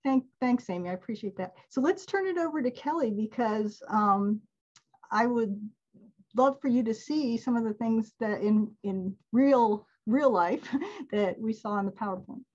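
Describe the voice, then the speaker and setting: A woman's voice: a little high, animated, moderate pace, a little mellow, dull audio. A woman giving a casual webinar talk on a video call, chatty and laughing often. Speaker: alumni event presenter.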